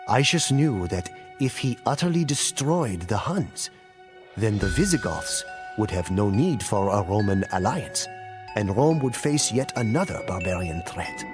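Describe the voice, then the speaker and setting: A man playing a grave storyteller in a game cutscene, narrating ancient wars in a solemn, theatrical, deliberate way. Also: lowish voice, big pitch swings, music in the background. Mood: grave, dramatic. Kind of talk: dramatic scripted storytelling narration.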